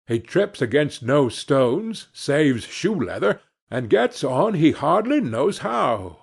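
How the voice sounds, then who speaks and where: A man's voice: a little high, big pitch swings, slow pace, gravelly, husky, heavily acted. A man voicing a cheerful, naive young servant lad in a fairy tale, earnest and exclaiming. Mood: admiring, wistful. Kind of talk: fairy tale storybook reading.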